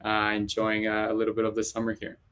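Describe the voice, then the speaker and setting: A man's voice: fairly low pitch, completely flat delivery, fast talker, fairly bright tone. A man from Colombia, a panel guest explaining his work in a conversational way over a video call, with frequent "uh" fillers. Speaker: panel guest, data scientist.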